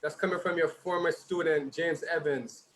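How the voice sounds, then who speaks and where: Slightly high-voiced man, tiring voice. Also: fairly even intonation, normal speed, fairly bright tone, low-quality recording. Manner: informal, chatty, slight stumbles. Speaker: son hosting a birthday tribute. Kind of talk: reading out tribute messages with personal asides.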